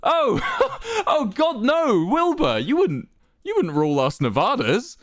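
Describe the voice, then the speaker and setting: Casting: male narrator as a coolly cutting president in a dramatic tale, controlled, condescending, mid-pitched with lively intonation. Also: no echo, clean studio sound. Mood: amused, condescending. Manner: calm, deliberate, condescending.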